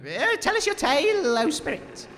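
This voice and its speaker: A performer playing an excitable, gullible male radio reporter in a comedy audio drama, swinging between amazement and deadpan doubt. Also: high voice, normal speed, heavily acted. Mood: awed, excited. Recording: long hall reverb.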